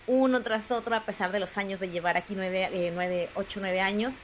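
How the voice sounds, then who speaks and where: A woman in her thirties hosting a casual podcast chat and telling her own story in a lively, animated way. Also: mid-range voice, a little fast, slight background noise. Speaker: podcast host.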